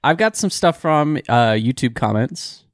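A man co-hosts a podcast with a stuffy, nasal voice from a cold. He talks in a relaxed, halting way with many restarts, at a middle pitch. The sound is close and dry. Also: no echo. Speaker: podcast co-host. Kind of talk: casual podcast chat.

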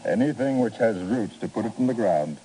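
An actor playing a confident, deferential farm manager in a 1950s radio drama, formal, precise and matter-of-fact. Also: male voice, fairly low pitch, expressive, brisk, dark tone, slight background noise, close to an everyday voice, poor audio. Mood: firm, courteous.

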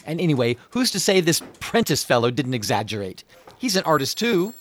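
An animated, higher-voiced American showman. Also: brisk. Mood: confident, amused. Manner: breezy, genial, salesman-like. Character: eager, smooth-talking American showman and businessman. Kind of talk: radio drama acting.

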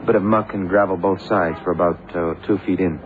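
Man acting a low-voiced, observant radio sidekick. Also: fairly even intonation, quick pace, natural voice. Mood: matter-of-fact. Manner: matter-of-fact, reporting details. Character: observant, deferential male assistant Johnny, matter-of-fact. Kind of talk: 1970s radio crime drama acting. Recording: noisy, tinny old radio broadcast.

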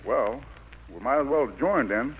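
A bossy old king in a vintage radio play, with a husky, rough-edged voice and a folksy drawl. He is blustering and cruel, hard to please, and sits at a middle pitch. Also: lively intonation, a little slow, low-quality recording. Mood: irritated. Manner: folksy Southern dialect, bossy. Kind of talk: 1940s radio acting. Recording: 1940s radio broadcast recording.

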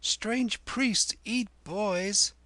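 Man putting on a very high, timid little boy. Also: extremely slow, sharp tone, no echo, heavily acted. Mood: timid, awed.

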